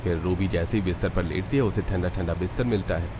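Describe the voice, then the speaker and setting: A low-pitched male narrator reads a scripted cartoon tale. He delivers it as a storyteller between the characters' lines. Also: fast, noisy background.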